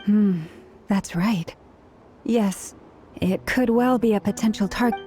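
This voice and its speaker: A woman playing a composed, serious, dignified guardian in a video game, speaking in a measured way. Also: mid-range voice, over music, close to an everyday voice.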